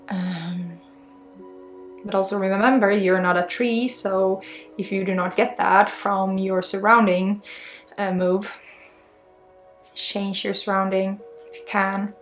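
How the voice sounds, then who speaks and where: A woman with a Swedish accent guides an ASMR spiritual session as an energy healer. Her mid-pitched voice is thick with vocal fry, and she talks in an informal, reassuring way. Faint music plays behind her. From Sweden.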